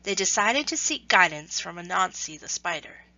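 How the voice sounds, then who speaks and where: A woman storyteller with a bright, forward voice reads a children's tale expressively and deliberately. The recording is dry and close, though slightly dull in tone. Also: lowish voice, animated, no echo. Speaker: storyteller reading aloud. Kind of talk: scripted children's story narration.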